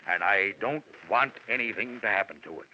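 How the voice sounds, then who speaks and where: An actor playing a fussy, blustery, publicity-hungry company president in a 1940s radio drama, pompous and sputtering. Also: male voice, lowish voice, animated, brisk, lightly acted. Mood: eager, pompous. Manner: fussing, hesitant, then pompous. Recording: dull, faintly noisy vintage radio broadcast.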